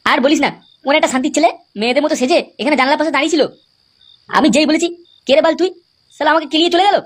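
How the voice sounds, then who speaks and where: A performer voicing a foul-mouthed, hot-headed, excitable cartoon troublemaker, crude and blustering. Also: high voice, racing, lightly acted. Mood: angry, aggrieved. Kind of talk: comic cartoon dialogue. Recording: clearly noisy cartoon soundtrack.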